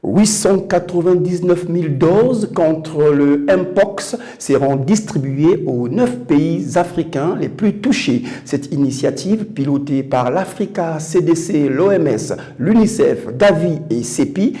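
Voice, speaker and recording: A man presenting the news and introducing reports, speaking deliberately with pauses between phrases. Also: fairly high pitch, expressive, mellow. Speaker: news anchor.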